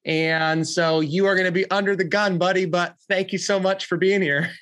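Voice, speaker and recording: A man's voice: high voice, fairly even intonation, normal speed, a bit bright. A man hosting a podcast, chatty and upbeat with lots of fillers, sounding stuffy and nasal from a recent illness. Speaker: podcast host. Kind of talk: casual podcast interview.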